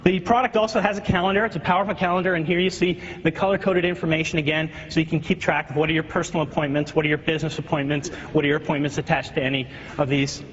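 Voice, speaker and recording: A man's voice: a little high, flat delivery, rapid-fire, breathy edge. Man presenting on a reverberant stage. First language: not French. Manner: explanatory, with frequent restarts and fillers. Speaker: software product presenter. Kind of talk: live keynote product demo. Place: large auditorium stage before an audience. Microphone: stage mic, heard through the hall. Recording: dull lo-fi recording with long hall reverb.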